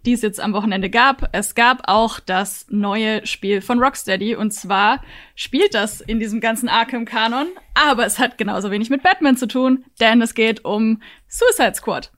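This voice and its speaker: A woman's voice: fairly high pitch, normal speed, a bit bright. A woman co-hosting a podcast, casually steering the discussion. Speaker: podcast co-host. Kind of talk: casual chat, leading the discussion.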